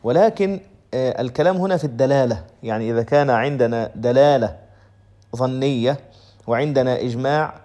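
A man's voice: mid-range voice, fairly quick, mellow. A man giving a lecture on a legal text, methodical and restating each point in simpler words. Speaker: Islamic law teacher.

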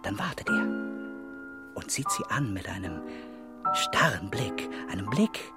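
A performer playing a brooding narrator of a grim, absurd radio play, deliberate and delivered in short repeated bursts. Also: low-pitched, very animated, over loud music, heavily acted. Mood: ominous.

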